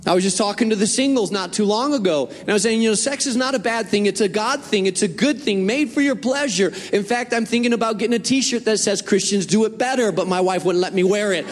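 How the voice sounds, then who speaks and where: A man from northern Spain preaches with a bright, high-pitched voice. He speaks earnestly and conversationally and circles back to drive his points home. His voice carries a long echo through a large church hall. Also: quick pace, few pauses. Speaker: pastor. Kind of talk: sermon.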